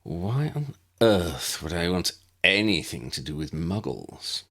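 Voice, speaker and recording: A man acts out a haughty wizard patriarch for an audiobook. He is cold and smug, drawing out his words with disdain, his pitch swinging widely over a husky tone. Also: sing-song, a bit bright, full character voice. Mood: sneering, smug. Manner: disdainful, smug, drawn-out delivery. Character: cold, sneering aristocratic wizard father, disdainful villain.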